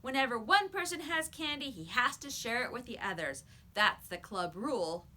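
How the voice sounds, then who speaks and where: A woman voicing a demanding schoolboy bully in a children's storybook, bossy and forceful. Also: on the high side, animated, normal speed, voice pushed up.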